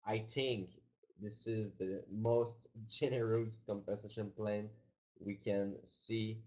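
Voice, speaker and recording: A man with a Quebec French accent, presenting on a business webinar, his deep, mellow voice coming through dull lo-fi online audio. He speaks haltingly, stopping and restarting his sentences. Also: steady tone. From Quebec, Canada. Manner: halting, many fillers and restarts.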